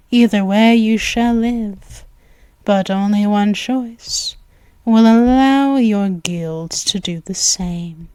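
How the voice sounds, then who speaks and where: A commanding female vampire, as a woman plays her for an ASMR roleplay, drawing out every word at a very slow, deliberate pace. Her voice stays soft and hushed, slipping into whispers. The recording is dry and intimate, right up against the microphone. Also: medium pitch, dry recording. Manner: commanding and purring. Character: dominant predatory female vampire, commanding and possessive. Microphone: close mic, intimate.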